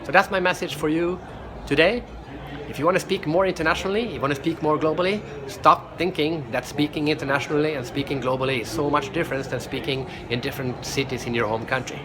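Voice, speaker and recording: A man's voice: on the high side, quick pace, background noise. A middle-aged man from Sweden, a professional speaker, talking energetically in a casual vlog and often restarting and repeating words. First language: Swedish.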